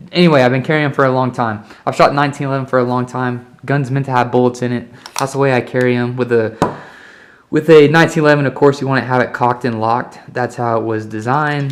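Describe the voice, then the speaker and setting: A man in his early twenties talks casually on a vlog, rambling with many fillers and some swearing. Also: medium pitch. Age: 20s. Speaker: vlogger. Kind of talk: casual gun review vlog.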